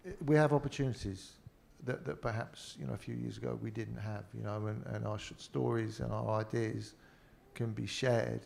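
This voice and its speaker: A man's voice: on the low side, fairly even intonation, normal speed, gravelly, hardly any pauses, a little mellow, a little hiss or hum. A man with a Liverpool accent speaking on a panel in a rambling way, full of "you know". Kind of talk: casual panel talk.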